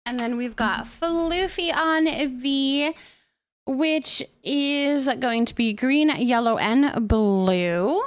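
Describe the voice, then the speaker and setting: A woman hosting a casual livestream, chatting with viewers in a gentle, affectionate way and often repeating her phrases softly. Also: high voice, very slow. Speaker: livestream host. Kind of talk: casual livestream chat, thanking viewers and reading their messages aloud.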